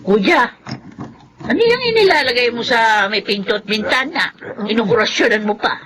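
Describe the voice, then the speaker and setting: A woman's voice: a little high, lively intonation, normal speed, noisy background, close to an everyday voice. An actress with a Filipino accent playing an anxious, cautious village woman in a radio horror drama. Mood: curious, uneasy.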